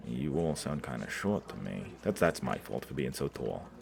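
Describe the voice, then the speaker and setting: A gruff, wry key maker from an audio drama. His voice sits extremely low and crackles with gravel, while his pitch swings widely as he talks in a blunt, folksy, sarcastic way. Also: lively intonation, moderate pace, crowd noise, full character voice. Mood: chatty, wry. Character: gruff, sardonic blind male key maker, chatty and blunt.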